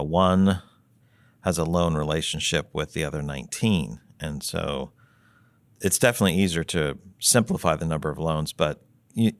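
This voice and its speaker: A man explaining finance as a podcast guest, measured and thinking aloud with many fillers and restarts. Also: very deep voice, no room sound. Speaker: treasury consultant guest.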